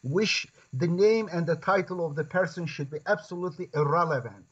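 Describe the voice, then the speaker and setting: A man with a Kurdish accent speaking as a guest in a discussion, phrasing things in a halting, deliberate way. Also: fairly high pitch, husky. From Turkey. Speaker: guest, scholar and author. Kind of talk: conversational discussion. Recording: dull lo-fi online call.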